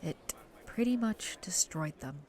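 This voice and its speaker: An actress plays a seasoned female reporter in an audio drama interview. Her voice is low and her delivery is measured and solemn. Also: animated, darkish tone. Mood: somber. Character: grave, seasoned female reporter recalling an old case.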